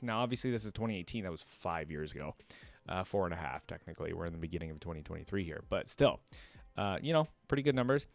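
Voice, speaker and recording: A man making a reaction video, chatty and thinking aloud as he reads text out and comments casually. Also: medium pitch.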